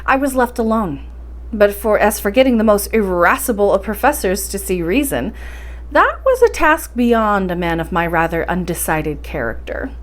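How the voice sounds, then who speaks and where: A woman hosting a library story time, speaking and reading aloud in an expressive, encouraging way. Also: mid-range voice, normal speed. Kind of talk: bedtime story reading and introduction.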